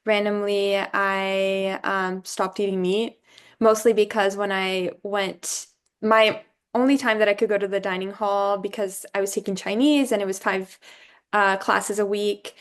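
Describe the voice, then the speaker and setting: Thoughtful young woman from Brazil, smooth voice. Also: mid-range voice, level tone, a little slow. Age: young adult. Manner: thoughtful, many fillers like "um" and "you know". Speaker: alumna guest. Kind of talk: casual interview conversation.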